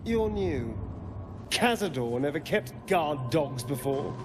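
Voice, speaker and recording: An actor playing a bitter, sardonic, vengeful vampire in a video game, with a haughty, theatrical delivery. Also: male voice, fairly high pitch, big pitch swings, slow, music in the background. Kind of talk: cinematic video game voice acting.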